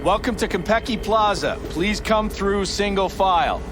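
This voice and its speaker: A man's voice: high voice, moderate pace, music in the background, natural voice. A man playing a formal, officious hotel security guard in a video game. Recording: clear ambient noise behind.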